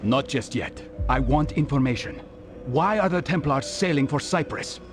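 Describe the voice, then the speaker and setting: An actor playing a stern, controlled assassin leader in a video game, speaking in a measured, cool manner. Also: male voice, medium pitch, expressive, natural voice. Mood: cool, menacing. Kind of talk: video game cutscene acting. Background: music.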